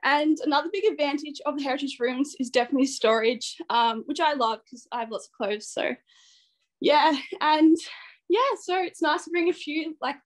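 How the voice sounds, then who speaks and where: A woman's voice: sits high, normal speed. A young Australian woman giving a casual, chatty tour as a student guide. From Ballarat, rural Victoria, Australia. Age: young adult. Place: small dorm room and corridor. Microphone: phone at arm's length.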